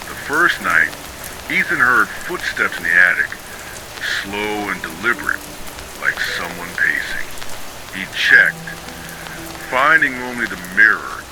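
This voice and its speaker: A man narrating scripted ghost stories for sleep in a measured, dramatic storytelling manner. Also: lowish voice, noisy background.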